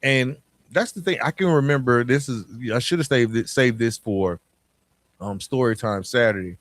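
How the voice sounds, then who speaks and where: A man speaks as a podcast host with a medium-pitched voice and a very dry, echo-free sound. His delivery is colloquial and streetwise, moving between motivational points and personal storytelling. He uses slang freely and often checks in with the listener. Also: moderate pace.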